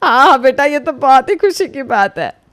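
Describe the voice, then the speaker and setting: Muddled older mother-in-law, fairly high voice, woman acting. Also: sing-song, moderate pace, fairly bright tone. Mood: delighted. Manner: exclamatory, easily puzzled. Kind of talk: Hindi comic cartoon acting.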